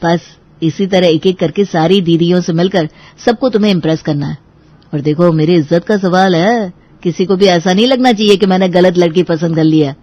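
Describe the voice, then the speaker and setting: A woman's voice: fairly low pitch, a little fast, mellow, no echo, lo-fi sound, lightly acted. A woman voicing an upbeat young fiancé in an audio story, eager to impress. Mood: pleased, urging.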